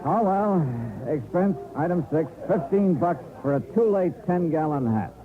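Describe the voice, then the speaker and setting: A narrow, tinny vintage radio recording with music behind. a wry American male investigator in a detective serial, hard-boiled and clipped, with a very animated, mid-pitched delivery. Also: a little slow. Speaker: lead actor and first-person narrator. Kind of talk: old-time detective radio drama acting.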